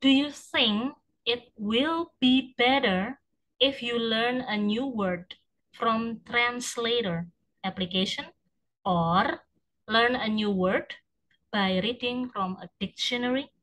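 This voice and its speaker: A woman, an English tutor asking speaking-test questions over a video call, encouraging and quick with short affirmations. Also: on the high side, a bit bright, poor audio. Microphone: video call webcam.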